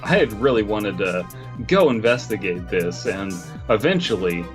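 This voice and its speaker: A man's voice: lowish voice, big pitch swings, normal speed, music in the background. A man hosting a podcast in casual comedic banter, with a mock-serious, nitpicking manner. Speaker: podcast host.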